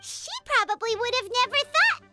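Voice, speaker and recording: A woman voicing a tiny, excitable fairy companion with a squeaky childlike voice in an English video game. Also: normal speed, over loud music. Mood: teasing. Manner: speaks of herself by name in third person. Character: tiny excitable floating fairy companion girl, chirpy and food-loving.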